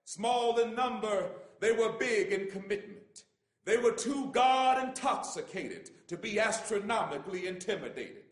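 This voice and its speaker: A man reads aloud in an oratorical style, his voice set unusually high. The delivery is solemn, measured and impassioned, and the room adds a clear echo. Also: lively intonation. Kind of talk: oratorical reading of a famous letter. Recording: echoey room.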